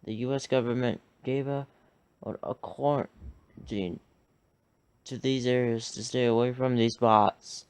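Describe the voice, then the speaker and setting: News anchor, male: mid pitch, very slow, clean resonant read. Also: fairly even intonation, smooth. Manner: measured newscaster delivery. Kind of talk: scripted news reading.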